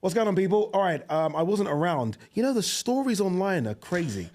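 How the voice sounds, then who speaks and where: A man, hosting a casual boxing chat podcast in a studio, animated and quick to laugh. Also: high voice. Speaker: podcast host. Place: studio set with dark curtains. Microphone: desk mic on boom arm.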